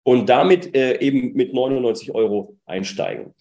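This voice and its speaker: A man's voice: mid-range voice, lively intonation, moderate pace, gravelly, dark tone. A man speaking as a startup founder in an interview, giving conversational, detailed explanations of his experiences.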